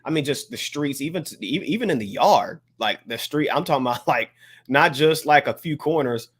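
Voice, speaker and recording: A man chatting casually as a podcast co-host in a slangy, halting way, circling around his phrases. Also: fairly high pitch, expressive, husky. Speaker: hip-hop podcast co-host. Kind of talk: casual chat.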